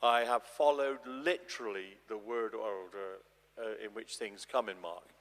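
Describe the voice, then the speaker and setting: A man's voice: lowish voice, measured pace, fairly bright tone. A man giving an informal, explanatory public talk as a translator, restarting his phrases as he goes. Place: large church, addressing an audience. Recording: long echoey church reverb.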